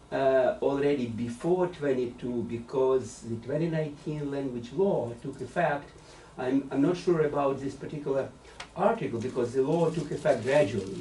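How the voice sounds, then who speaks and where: A man's voice: medium pitch, mellow. A man in the audience at a talk, asking a long question hesitantly and repeating words. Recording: distant echoey room with faint noise.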